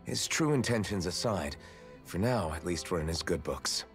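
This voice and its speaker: An actor playing a calm, level-headed male warrior in a video game, plain and understated. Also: sits low, normal speed. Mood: reasoning. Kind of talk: video game cutscene acting. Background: music.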